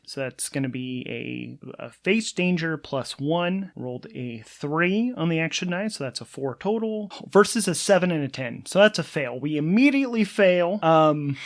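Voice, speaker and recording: Higher-voiced man narrating his tabletop game. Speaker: host and solo player of a tabletop role-playing podcast. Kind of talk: casual game play narration, thinking aloud.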